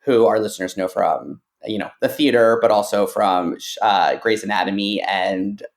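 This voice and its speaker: A man's voice: on the low side, moderate pace. A man hosting a podcast, chatting casually and giving his opinions in a loose, talkative way. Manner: chatty, opinionated, uses "like" often. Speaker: podcast host. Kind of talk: casual interview chat.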